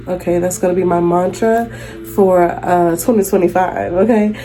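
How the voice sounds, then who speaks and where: A woman's voice: fairly low pitch, dark tone. A young woman with a stuffy nose from a cold, chatting casually as she reads tarot cards in her bedroom, often checking in with the viewer. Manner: chatty, affirming, checks in with "okay?". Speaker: tarot reader. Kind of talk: casual tarot reading vlog. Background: jazz music playing.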